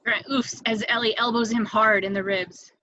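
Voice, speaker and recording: A woman's voice: fairly high pitch, fairly even intonation, moderate pace, bright, forceful tone, dry recording, dull audio. A woman reading stage directions as scripted narration for a table read.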